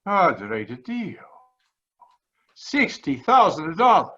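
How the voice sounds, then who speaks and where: An actor playing an earnest, pious immigrant man with halting, solemn delivery in a radio drama. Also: fairly high pitch, big pitch swings, pushed and bright, exaggerated voice. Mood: astonished. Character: earnest Italian immigrant man, pious, grieving, slow-spoken. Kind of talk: 1940s radio drama acting. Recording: slightly dull video-call audio.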